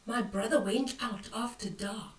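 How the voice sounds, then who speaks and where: An older woman reading a picture book aloud to children, expressive and dramatic, with hushed whispers and exclamations as she comments on the pictures. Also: on the high side, measured pace. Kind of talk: bedtime read-aloud with asides on the pictures. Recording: roomy echo, distant from the mic.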